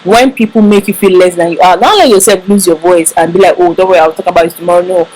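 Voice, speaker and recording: Woman, Nigerian English accent, fairly low voice, earnest, emphatic, casual vlog advice. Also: steady tone, fast talker, a little mellow, rough recording. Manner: earnest, emphatic, repeats words for stress. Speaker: vlogger giving relationship advice. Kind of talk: casual advice talk to camera.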